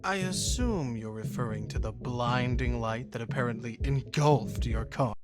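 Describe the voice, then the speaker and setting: A man playing a smug, probing interrogator in a scripted game scene, polished and deliberate, with a condescending, taunting delivery. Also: mid-range voice, loud music behind the voice, lightly acted. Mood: smug, mocking. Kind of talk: scripted game cutscene acting.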